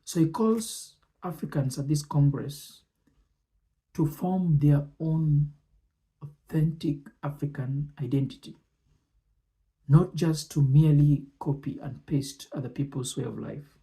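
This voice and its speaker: A man presenting and commenting on speech excerpts in a deliberate, measured way, often pausing between short phrases. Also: a little high, mellow, rough recording.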